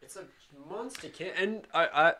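Playful young man, bright-voiced. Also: high-pitched, normal speed. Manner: teasing, playful. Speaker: podcast co-host. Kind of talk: casual chat.

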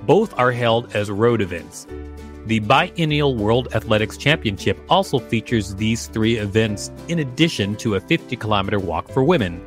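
Male podcast co-host, low register, article reading with casual asides. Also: animated, normal speed, soft background music.

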